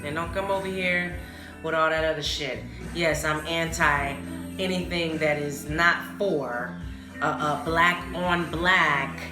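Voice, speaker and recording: An American woman hosting a solo podcast in casual talk, speaking bluntly and emphatically, with opinions and swearing. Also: fairly low pitch. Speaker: podcast host. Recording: roomy echo, music behind the voice. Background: music.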